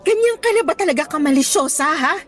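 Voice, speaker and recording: A woman's voice: high-pitched, animated, fast, faint music behind. An actress playing a principled young woman teacher with a Filipino accent in a Tagalog radio drama, earnest and scolding, switching into English at times. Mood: indignant, firm.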